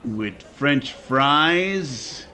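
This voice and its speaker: An actor playing a shady, laconic male racetrack tout in old radio comedy, clipped and deadpan. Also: mid-range voice, drawn-out words, soft background music, full character voice. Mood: deadpan, sly. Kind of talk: 1950s radio comedy acting. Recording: very noisy audio with clicks.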